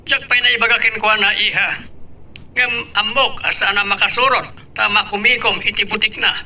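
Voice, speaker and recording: A man's voice: high voice, steady tone, normal speed, sharp tone, far from the mic, exaggerated voice. An actor playing a confident wealthy boss and father in a radio drama, with a Filipino accent. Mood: fatherly. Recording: off-mic, music underneath.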